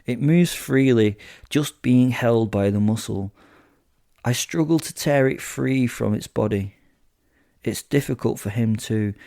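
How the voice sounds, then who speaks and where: Man acting a deep, gravelly, sadistic werewolf. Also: mellow, no room sound, clean studio sound. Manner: conversational asides, theatrical, darkly flippant. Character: sadistic, gleeful male werewolf serial killer narrating his kills. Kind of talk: first-person horror audio drama narration.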